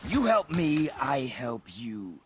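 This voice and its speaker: A man acting a menacing, coldly bargaining god on the hunt in a video game, husky and terse, with threat in his delivery. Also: medium pitch, big pitch swings, slow, a little hiss or hum. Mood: cold, demanding.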